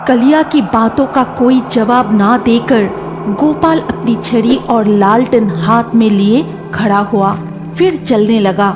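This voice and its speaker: A woman's voice: on the high side, slow, music in the background. A woman narrating a scripted horror cartoon story.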